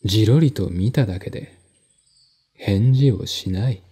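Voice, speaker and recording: A man reading literary narration in a measured, deliberate, formal manner, as in an audio drama. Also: lowish voice, warm tone, breathy edge. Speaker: narrator, solo audiobook reader.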